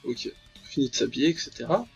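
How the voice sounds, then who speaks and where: Male let's player, higher voice, ironic and full of interjections, dull mic over game music. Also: sing-song, quick pace. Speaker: let's play host. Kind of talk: playful game commentary, reading visual novel text aloud.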